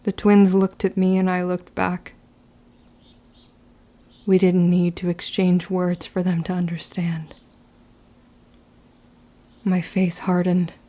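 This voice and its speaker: A woman playing a grim, resolute young witch in an audio drama, measured and solemn in delivery. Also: lowish voice, completely flat delivery, mellow. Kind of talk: scripted first-person audio drama narration.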